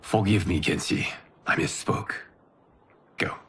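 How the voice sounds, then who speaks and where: A man playing a grave, benevolent protector god in a video game, speaking in a measured, formal, commanding way. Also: fairly bright tone. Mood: calm, solemn. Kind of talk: solemn cinematic game dialogue. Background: cinematic music.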